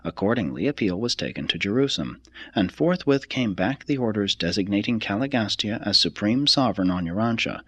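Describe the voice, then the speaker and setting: A man reads a religious text aloud as scripted narration, in a husky voice with a measured, formal and deliberate delivery. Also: on the low side, lively intonation, fairly bright tone, no room sound. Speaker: audiobook reader.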